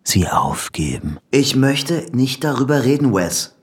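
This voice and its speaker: An actor playing a young, clever, obsessive man in a radio drama, husky, evasive and brooding. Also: medium pitch, very animated, a little slow. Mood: brooding, inward. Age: young adult.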